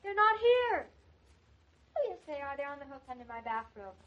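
An actress playing a playful, teasing young newlywed wife in a 1940s radio comedy-drama. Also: female voice, sits high, very animated, normal speed. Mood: coaxing. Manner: teasing, mock-indignant, coaxing. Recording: dull 1940s radio transcription recording.

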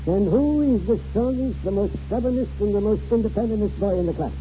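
Roguish old Scottish grandfather, acted by a man, high-pitched. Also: fairly quick, mellow, natural voice. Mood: wry, goading. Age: older. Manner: grandiloquent, theatrical. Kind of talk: 1940s radio acting. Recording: muffled, noisy 1940s radio recording.

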